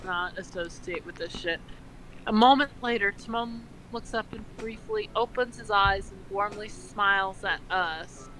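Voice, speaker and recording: A woman co-hosting a dramatic fan fiction reading, mixing narration with casual, deadpan sarcastic remarks. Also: mid-range voice, unhurried, rough recording. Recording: background noise, uneven levels.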